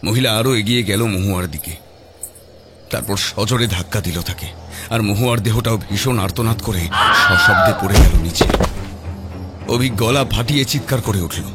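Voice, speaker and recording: A man narrating a scripted horror story dramatically, with suspenseful, measured phrasing. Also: fairly low pitch, huge pitch swings. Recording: loud music behind.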